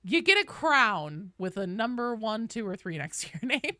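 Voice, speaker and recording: A woman livestreaming a video game, chatting casually and commentating in an informal, sweary, animated way. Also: on the high side, moderate pace, dry recording.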